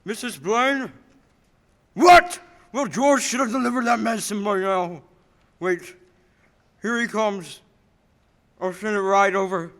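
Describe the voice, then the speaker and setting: A man playing a drunk, grieving old druggist in a radio play, loud and volatile. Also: high voice, takes it slowly, long pauses, heavily acted. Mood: angry, agitated. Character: drunk, grief-stricken, angry old druggist. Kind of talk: 1940s radio play acting.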